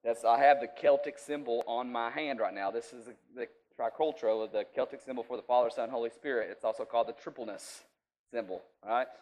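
A man's voice: medium pitch, a little fast, darkish tone, low-quality recording. Folksy preacher man, chatty and down-home. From rural US. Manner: folksy, checks in with listeners often. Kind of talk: informal sermon teaching.

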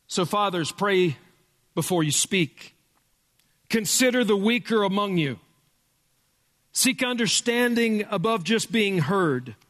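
A male pastor with a loud voice preaching a sermon in a church, emphatic, dropping from booming to hushed. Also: animated, takes it slowly, long pauses, long reverb tail. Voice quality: loud speaking voice. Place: church sanctuary with sound board.

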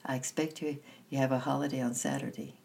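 A woman's voice: extremely low pitch, fairly even intonation, quick pace, gravelly, a little mellow, full character voice. A woman reader voicing a kindly young mother, a vicar's wife in a storybook, in a friendly, encouraging manner.

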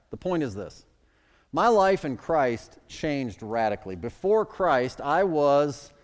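Middle-aged preacher in a reverberant hall. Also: male voice, a little high, a little mellow. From Chicago suburbs. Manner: emphatic preaching with sudden swells and drops. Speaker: pastor. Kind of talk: sermon.

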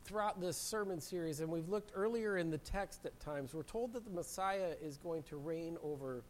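Hoarse preacher man with a cold. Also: on the high side, normal speed. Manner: clears throat often, steady preaching. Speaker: pastor. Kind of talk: sermon. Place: church sanctuary. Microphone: handheld mic.

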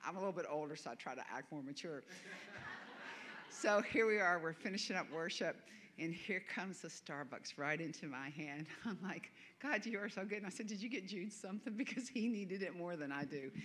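A woman's voice: lowish voice, animated, a little fast, a bit bright. A woman from the American South with a Southern accent, preaching as a guest minister in a church, chatty and self-deprecating with frequent laughter. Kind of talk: sermon. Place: church sanctuary.

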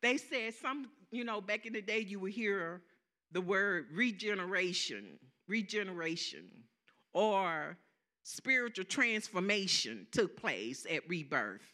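Forceful Southern woman preaching slowly. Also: mid-range voice, big pitch swings. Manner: emphatic preaching, repeats phrases, asks the congregation for amens. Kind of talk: sermon.

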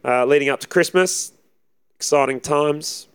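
A man, husky from preaching, chatting casually and rambling as an assistant pastor co-hosting a podcast. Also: on the high side, measured pace, a bit bright, dry recording. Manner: easygoing, rambling with many fillers. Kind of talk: casual chat.

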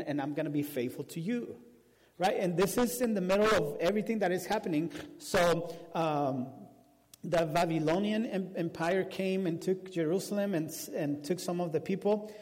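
A man with a Spanish accent, whose first language is Spanish, preaching a sermon and telling a story with animated restarts. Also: high-pitched, moderate pace. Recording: long hall reverb.

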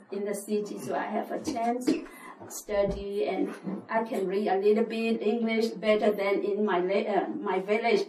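A woman's voice: mid-range voice, mellow, roomy sound, far from the mic, slight background noise, low-quality recording. A woman from Burma, telling her life story in hesitant at church. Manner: plain, hesitant, many uh fillers. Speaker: refugee giving testimony. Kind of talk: church testimony, non-native English.

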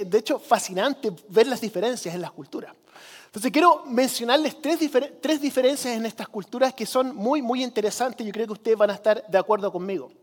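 A man's voice: very high-pitched, darkish tone. A man from Chile with a Chilean accent, giving a sermon as a preacher in a conversational manner, repeating phrases for emphasis. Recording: long hall reverb.

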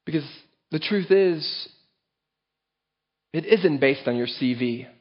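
A man's voice: a little high, animated, slow. Earnest preacher man, slightly muffled recording. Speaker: pastor. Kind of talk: sermon.

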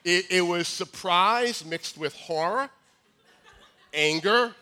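A man in his forties with a high voice, preaching a sermon in a joking, conversational way with many restarts. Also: takes it slowly, bright, forceful tone. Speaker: pastor. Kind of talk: sermon with humorous asides.

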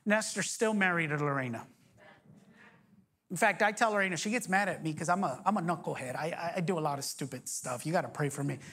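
A man in his forties preaching a sermon as pastor, energetic, joking and firing off rhetorical questions. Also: on the high side, quick pace. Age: 40s.

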